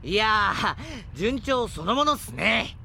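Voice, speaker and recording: Eager young navigator, high-pitched, lively intonation, casual junior slang, audio drama. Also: male voice, takes it slowly, vocal fry, a little hiss or hum, full character voice. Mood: breezy. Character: breezy, excitable young male spaceship navigator, junior crewman. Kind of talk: Japanese audio drama acting.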